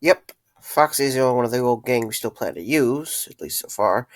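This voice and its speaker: A man's voice: mid-range voice, expressive, brisk, no echo, natural voice. An actor playing a tired, earnest young man who wants to reopen a pizzeria, talking with hesitant fillers. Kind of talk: fan audio drama acting.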